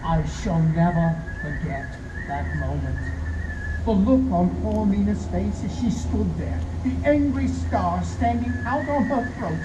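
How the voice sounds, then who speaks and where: A woman's voice: medium pitch, a little slow, highs cut off, natural voice. A woman playing an earnest, tense diarist who narrates dramatically in a radio play. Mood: grave, awed. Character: earnest, tense vampire-hunting diarist recounting a chase. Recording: echoey room, very loud steady noise.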